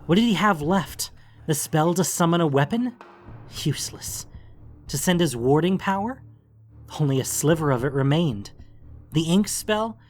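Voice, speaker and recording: A man's voice: on the high side, lively intonation, moderate pace, pauses often, faint music behind. A man narrating a fantasy story in a dramatic, scripted audiobook style.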